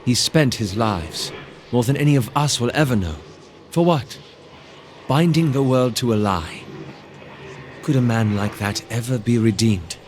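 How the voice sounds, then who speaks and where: A man performs a disillusioned fantasy game companion in a mid-pitched voice. He is solemn and reflective. There is clear ambient noise behind him. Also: lively intonation, unhurried, close to an everyday voice. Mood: bitter, mournful. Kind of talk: video game character dialogue.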